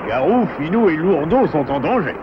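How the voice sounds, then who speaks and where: A man's voice: on the high side, sing-song, moderate pace, heavy background noise. A man voicing a responsible, grave and decisive father beaver in a French cartoon. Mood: grave, urgent. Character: responsible, steadfast father beaver, adult male. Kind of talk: French animated cartoon acting. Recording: dull soundtrack with loud music.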